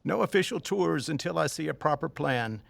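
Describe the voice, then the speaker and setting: An actor playing a reluctant, fussy, rule-minded tour company boss in an audio drama, with a skeptical, formal manner. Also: male voice, fairly high pitch, animated, a little fast, vocal fry, dry recording, full character voice. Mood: grudging, firm.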